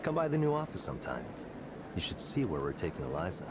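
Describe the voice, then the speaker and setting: Video game role: smug male executive, very low voice with swooping delivery, loud city traffic behind. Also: wildly swooping pitch, fast. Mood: smug, needling. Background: street ambience with vehicles.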